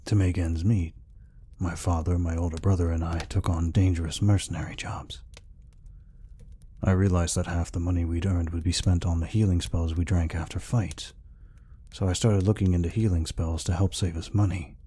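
A man voicing a gentle, peaceful orc healer in an ASMR roleplay, speaking softly and reassuringly without hurry. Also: little pitch movement. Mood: reflective, calm. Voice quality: deep, husky, breathy. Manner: soft, unhurried, reassuring. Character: gentle, peaceful male orc healer, soft-hearted caretaker.

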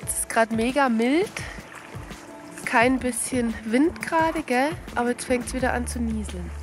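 A woman in her early thirties, a mother chatting casually to a handheld camera on a walk by a lake outdoors and calling out loudly to her dog now and then. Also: a little high, measured pace, over music. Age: early 30s. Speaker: vlogger and mother. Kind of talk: casual walk-and-talk vlog. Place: outdoors on a lakeshore beach. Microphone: handheld camera at arm's length.

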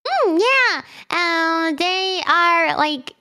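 A woman's voice: very high voice, expressive, extremely slow, pushed and bright. A young woman whose first language is German, chatting as the guest of a convention Q&A in a bubbly way, with lots of fillers and restarts. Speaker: guest streamer. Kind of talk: convention Q&A interview.

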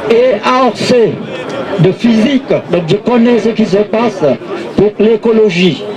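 Older man, high voice, slow, deliberate rally speech on a hand mic. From Vietnam. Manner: halting, repeats "vous voyez?" to the crowd. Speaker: protest speaker. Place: outdoor street rally in a city square. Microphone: handheld mic through a loudspeaker. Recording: open-air rally with crowd noise. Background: crowd of protesters.

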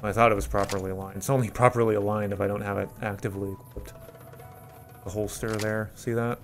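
A man's voice: on the low side, flat delivery, quick pace. Man rambling casually over game music. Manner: rambling, thinking aloud. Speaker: gameplay host.

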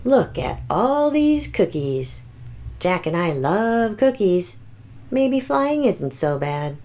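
Female reader as dramatic little storybook dinosaur, anxious yet boastful, swooping expressive pitch. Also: slow pace. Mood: delighted. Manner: exaggerated, expressive, emphatic. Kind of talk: children's picture book read-aloud.

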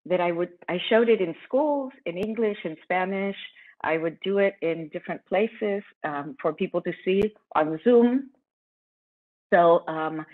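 A middle-aged woman from Argentina, a tour guide, talks through a presentation in a friendly, chatty way with plenty of ums and restarts. Her voice sits a little low. It comes over a thin, phone-like video call line from a room at home. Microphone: laptop webcam on a video call. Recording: tinny video call audio.

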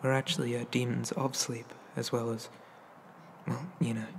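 A man voicing a shy, gentle young male demon in an ASMR roleplay, soft and hesitant, often slipping into whispers. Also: mid-range voice, flat delivery, moderate pace, lightly acted. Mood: shy, sleepy calm. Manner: hesitant, stammering, bashful. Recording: noticeable background noise.

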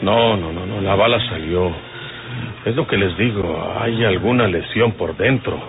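An actor in an old radio drama playing a resolute, plain-spoken rustic outlaw leader. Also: male voice, sits low, a little slow, slight background noise. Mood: grave. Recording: tinny old radio recording with music.

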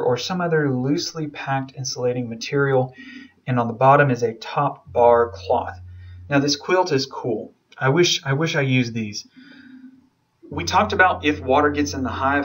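A man's voice: medium pitch, moderate pace. A man from the southern United States giving an informal slide lecture as an instructor, explaining things in a casual, enthusiastic manner. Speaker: beekeeping instructor.

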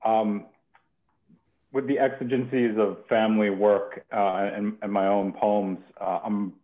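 A young man hosting a poetry reading informally, with a halting, thoughtful way of talking. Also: lowish voice, flat delivery. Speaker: host of a poetry reading series. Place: home kitchen. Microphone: headset on a video call. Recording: phone-like narrow-band video call.

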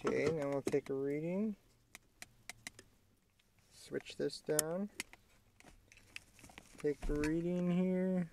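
Male how-to demonstrator, gravelly fry, casual and halting delivery, slightly noisy handheld recording. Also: a little high, steady tone, mellow. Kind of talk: casual hands-on tutorial narration. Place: inside a parked vehicle. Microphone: handheld camera mic. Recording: clearly noisy amateur camera audio, clicks.

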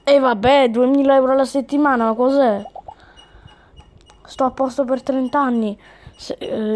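A woman chatting casually through a game as a video host, reading on-screen text aloud as she comments. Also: sits high.

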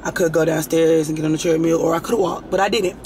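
Raspy young woman vlogging, kinda hoarse, rambles and swears casually, light background noise. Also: low voice, fairly even intonation, fast, warm tone. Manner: chatty, rambling, frank, swears freely. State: hoarse, itchy throat. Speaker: lifestyle vlogger. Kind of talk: casual chat to camera.

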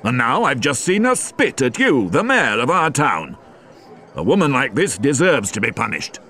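A stern, cold police inspector in a storybook reading, insisting on punishment with an unbending tone, as a man voices him. His voice sits a touch higher than the narration and moves animatedly. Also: big pitch swings, moderate pace, bright, forceful tone.

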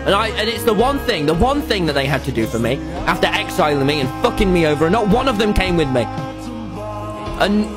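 A man's voice: high voice, big pitch swings, fairly quick, music in the background. A man playing a hurt, self-pitying young exile who feels abandoned. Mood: hurt, bitter.

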